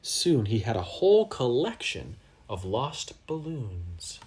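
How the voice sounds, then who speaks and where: A person reading a children's storybook aloud expressively, with dramatic pauses and asides to young listeners. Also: mid-range voice, sing-song, a little mellow. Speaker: storybook reader.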